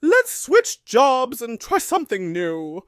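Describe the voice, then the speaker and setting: Woman as a slow, high-voiced wise old horse. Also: clear, resonant tone, no room sound, studio quality, heavily acted. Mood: encouraging. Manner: calm, encouraging, drawn-out. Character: wise old horse leading farm animals. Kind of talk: children's storybook character.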